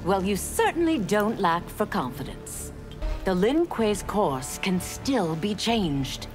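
A woman's voice: mid-range voice, very animated, slow pace. An actress voicing a fallen female warrior seeking redemption in a fighting game, speaking in a solemn, resolute way. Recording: game audio with music behind.

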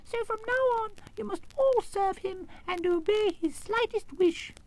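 Squeaky tiny mouse queen. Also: a little slow, a little hiss or hum, low-quality recording. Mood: regal. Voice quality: squeaky little voice. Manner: imperious, prim, exclamatory. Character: tiny indignant regal field mouse queen.

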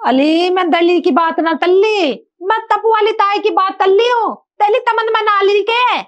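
A cranky, insistent old mother-in-law with a thick childlike lisp, as a woman acts her out. Her voice is shrill and very high. The sound is dull and muffled. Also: unhurried. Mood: nagging. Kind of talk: comic skit acting.

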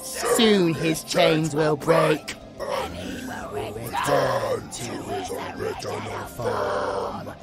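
Creepy playful demon monster, super slow n gloating, low voice, loud music behind. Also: female voice, lively intonation, heavily acted. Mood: gloating menace. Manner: slow, gloating, speaks of itself as we. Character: sadistic, playful hive-mind demon monster hungry for blood. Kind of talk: horror audio drama acting.

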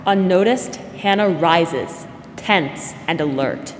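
A woman's voice: fairly low pitch, takes it slowly, slight background noise. A young woman narrating a scripted radio drama. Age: young adult. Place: school theatre stage. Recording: echoey hall with music behind. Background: music.

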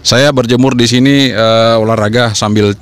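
A man's voice: medium pitch, steady tone, normal speed, smooth. A man in his forties with an Indonesian accent, talking casually as an amateur radio vlogger and often repeating himself. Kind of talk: casual vlog talk and radio call-outs. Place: outdoors on a rooftop in the open air.

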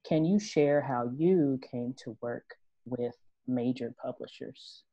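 A woman moderating a panel discussion over a video call, speaking in a relaxed, conversational way with many fillers. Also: rumbling low, takes it slowly, no room sound, dull audio.

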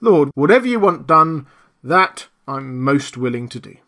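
A man's voice: on the high side, sing-song, moderate pace, a bit bright, pitched above the performer's own voice. A man voicing a flustered, easily frightened rustic tramp with old-fashioned country speech. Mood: flustered, astonished. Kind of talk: audiobook character reading.

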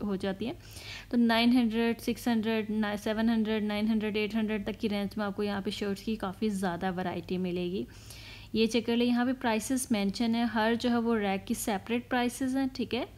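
A woman's voice: on the high side, a little mellow. A woman, giving a casual walkthrough of a clothing store as a shopping vlogger, listing prices as she goes. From Pakistan. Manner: chatty, repeats filler phrases, lists prices.

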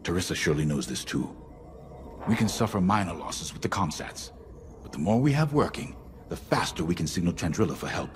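A man acting a grave, principled middle-aged rebel fleet commander in a video game, with a measured, solemn, deliberate delivery. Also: low-pitched, very animated, slight background noise. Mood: grave, urgent. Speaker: military commander.

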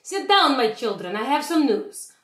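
A woman voicing a kindly but firm old grandmother witch in a picture-book read-aloud, affectionate and gently instructing. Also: high-pitched, big pitch swings, moderate pace, voice pushed up. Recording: roomy home webcam recording.

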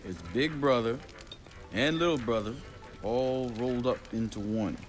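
A man's voice: medium pitch, a little slow, soft background music, lightly acted. A man playing a sardonic member of a hacker crew in a video game. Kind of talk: video game cutscene acting.

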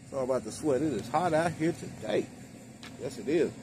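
A man's voice: animated, fairly quick, gravelly. A middle-aged man talking casually and plainly as he shows gardening supplies, recorded outdoors in a backyard. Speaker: home gardener vlogger. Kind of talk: casual product demonstration. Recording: noisy outdoor ambience, traffic-like rumble.

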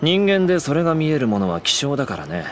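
A man's voice: a little high, very animated, fast, a little hiss or hum. A man playing a polite but coolly menacing teenage boy in an anime audio drama, breezy and courteous. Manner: breezy, courteous while threatening.

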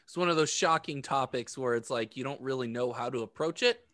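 A man's voice: mid-range voice, a little fast, fairly bright tone, no room sound, studio quality. A man chatting casually as one of the co-hosts of a podcast. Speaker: podcast co-host. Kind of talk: casual chat.

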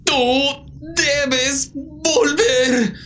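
A performer voicing a friendly, earnest robot in a cartoon fandub, with a halting, simple manner. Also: high-pitched, fairly even intonation, a bit bright, slight background noise, heavily acted. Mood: distressed. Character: friendly basketball-playing robot, earnest and gentle, virus-stricken.

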